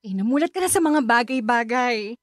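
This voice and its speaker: High-voiced, proud, affectionate aunt played by an actress. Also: female voice, lively intonation, brisk, no room sound, studio quality. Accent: Filipino. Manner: effusive, encouraging. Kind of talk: Filipino radio drama acting.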